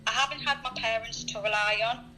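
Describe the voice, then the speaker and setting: A woman's voice: on the high side, steady tone, fast talker, bright, forceful tone, poor audio. A woman in her early thirties from Newcastle with an English accent, chatting candidly and earnestly about herself. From Newcastle, England. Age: early 30s. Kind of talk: candid personal chat.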